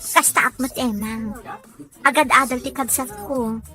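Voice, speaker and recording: A practical young working woman played by an actress talks in a bright, high voice. It is scripted radio drama dialogue between friends, over faint music. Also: fairly even intonation, measured pace. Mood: chatty.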